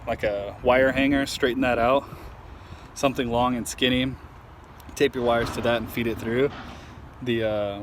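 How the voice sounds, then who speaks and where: Casual man explaining over background noise. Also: mid-range voice. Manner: informal, halting, thinking aloud. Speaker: amateur truck owner showing a DIY install. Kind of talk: casual how-to demonstration. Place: outdoors at a truck. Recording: handheld outdoor recording with clear background noise.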